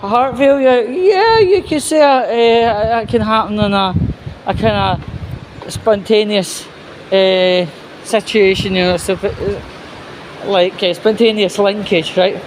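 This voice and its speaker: Man, slow pace, sweary casual commentary, rambling, halting, faint vehicle-like noise behind. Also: high voice. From United Kingdom. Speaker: host of his own commentary vlog. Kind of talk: casual opinionated news commentary.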